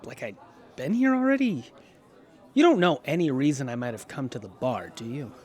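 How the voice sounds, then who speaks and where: A man's voice: medium pitch, very animated, moderate pace, a little mellow, breathy edge. An actor playing an earnest, proud but polite male outcast farmer with a hesitant manner in a sci-fi radio drama. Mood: hesitant, puzzled.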